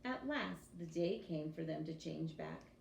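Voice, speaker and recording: A woman reading a children's story aloud in an expressive teacher's storytelling style. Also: low-pitched, measured pace, vocal fry. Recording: roomy echo with faint background noise.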